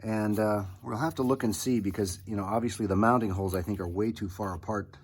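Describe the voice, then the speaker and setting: A man's voice: on the low side, fairly even intonation, fairly quick, breathy edge. A man talking informally through a hands-on restoration project, folksy and thinking aloud as he goes. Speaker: hobbyist restorer presenting own project. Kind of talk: casual hands-on restoration walkthrough.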